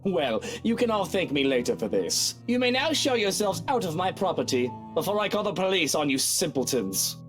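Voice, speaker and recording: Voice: mid-range voice, very animated, normal speed, few pauses, natural voice. An actor voicing a haughty, impatient visual novel character who talks with condescending exasperation. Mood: scornful. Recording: guitar music behind the voice.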